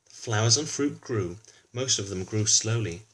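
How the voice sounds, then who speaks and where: A man's voice: fairly low pitch, lively intonation, moderate pace, fairly bright tone, slightly rough, low-quality recording. A man reads a children's storybook aloud expressively, adding informal explanatory asides for a young listener. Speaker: children's book reader.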